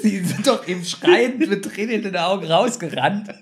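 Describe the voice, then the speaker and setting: A man with a somewhat high-pitched voice chats casually as a podcast co-host. He comments on a story in a talkative way, with joking interjections. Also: normal speed, background noise. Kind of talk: casual chat, commenting on an audio drama.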